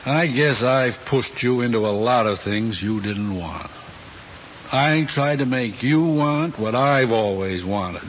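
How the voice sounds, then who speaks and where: A troubled middle-aged father in an old film drama. He speaks slowly and gravely, with halting regret, on a dull soundtrack with faint hiss. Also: mid-range voice, expressive. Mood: regretful. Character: troubled, regretful father who pushed his son.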